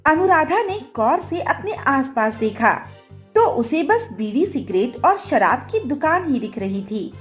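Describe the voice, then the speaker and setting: A woman storyteller reads a dramatic scripted tale. Her voice is high and very animated, at a slow pace. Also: faint music behind.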